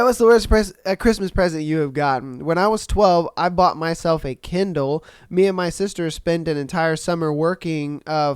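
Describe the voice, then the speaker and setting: A young adult man hosting a casual podcast, reading listener stories and reacting in a slangy, animated way, a little nasal and congested from a cold. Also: high-pitched, smooth, dry recording, studio quality. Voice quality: slightly nasal. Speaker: podcast host.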